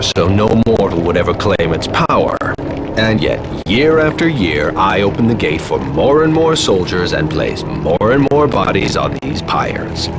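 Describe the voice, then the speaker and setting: Bitter undead corpse burner from a game, really low voice, sardonic, loud music behind. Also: male voice, exaggerated voice. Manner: sardonic, theatrical. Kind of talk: video game cutscene acting.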